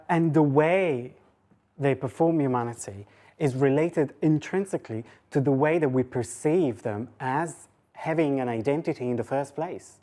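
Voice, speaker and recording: A woman's voice: rumbling low, dark tone. A woman giving an informal, conversational academic lecture, thinking aloud with hesitations and rhetorical questions.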